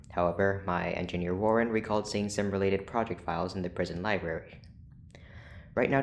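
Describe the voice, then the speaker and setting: An actor playing a formal, clinical research doctor in an audio drama, speaking in a precise, measured way. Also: sits low, slight background noise. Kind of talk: scripted audio drama acting.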